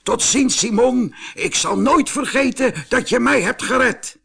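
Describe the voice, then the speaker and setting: A man's voice: very high voice, a little slow, gravelly, fairly bright tone, slightly rough, pitched above the performer's own voice, heavily acted. A man voicing a kindly old woodcutter in a storybook, hearty and plain-spoken. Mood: glad, grateful.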